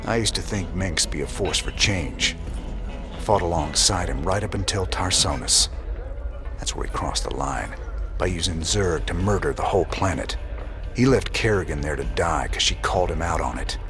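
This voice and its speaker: Low-voiced rebel cowboy commander. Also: male voice, animated, normal speed, over music. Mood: bitter. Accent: Southern US. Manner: laconic drawl. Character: weary, steady rebel space-cowboy commander.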